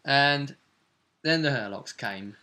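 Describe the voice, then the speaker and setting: A man with a crisp, very bright voice at an average pitch talks through a tabletop game. He sounds like a game master in informal conversation, nudging his listener to agree as he goes. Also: slow. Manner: informal, seeks agreement with tag questions. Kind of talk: casual chat recapping game play.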